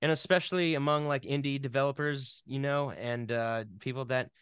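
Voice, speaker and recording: A man in a casual interview, explaining things in a halting, conversational way as a guest expert. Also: mid-range voice, steady tone, fairly bright tone, smooth, dry recording, clean studio sound. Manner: halting, filler-heavy explaining with playful sound imitations. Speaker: interview guest, game audio editor and voice actor.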